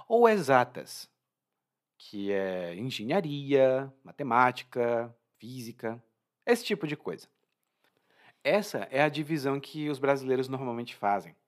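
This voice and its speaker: Brazilian guy teaching portuguese words, super lively pitch, chatty and joking. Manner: lively, informal, chatty, laughs at own jokes. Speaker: language teacher. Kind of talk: explaining vocabulary from a story.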